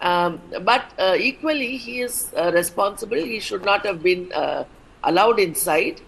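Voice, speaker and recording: A woman doctor, answering questions as an expert guest on a news program. She explains medical rules in a careful, measured way, pausing often with "uh" and "you know", at a middle pitch. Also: animated, gravelly, a bit bright, slightly rough, low-quality recording. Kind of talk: TV interview answers.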